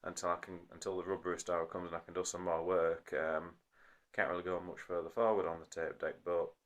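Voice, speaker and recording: A man's voice: deep voice, little pitch movement, a little fast. A man talking casually to a phone as a hobbyist explaining a repair, rambling and often correcting himself apologetically. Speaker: hobbyist video maker. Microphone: phone recording.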